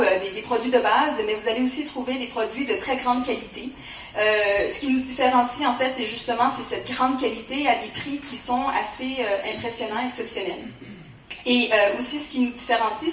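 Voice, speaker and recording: A woman with a Canadian French accent giving a conference presentation, often pausing with fillers. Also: fairly high pitch, a bit bright, echoey room, far from the mic, slight background noise, poor audio. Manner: hesitant, many fillers. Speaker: conference speaker.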